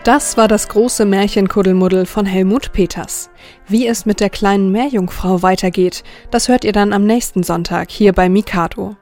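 A woman's voice: medium pitch, fairly even intonation, moderate pace, a little mellow, soft background music. A woman presenting a children's radio programme in a scripted style, close to a studio microphone.

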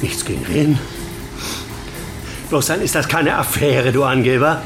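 A man's voice: medium pitch, moderate pace, natural voice. A man acting in a radio drama as a blunt, teasing old friend with a mocking, emphatic delivery. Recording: loud music behind the voice.

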